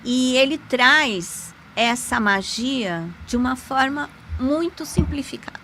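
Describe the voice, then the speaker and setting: A woman, a podcast guest, telling a personal story in an animated, emphatic way with sudden pitch jumps. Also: takes it slowly, slight background noise, poor audio. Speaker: podcast guest, lawyer and magic teacher. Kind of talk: conversational storytelling.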